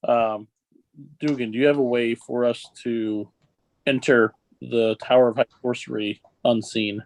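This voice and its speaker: A man's voice: medium pitch, fairly even intonation, a little slow, poor audio. A man playing a tabletop roleplaying game, asking things in a tentative, casual way.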